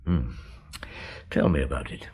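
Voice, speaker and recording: An actor playing a middle-aged, shrewd police inspector who also narrates the radio drama, asking calm, measured questions. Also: male voice, a bass voice, slightly rough, exaggerated voice. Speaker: narrator and detective.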